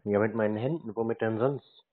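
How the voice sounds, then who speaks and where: A man's voice: fairly low pitch, a little fast. A man, a native German speaker, chatting casually on a call with playful, feigned naivety. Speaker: scambaiter taking calls. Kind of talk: casual phone chat. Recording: muffled narrow phone-like sound.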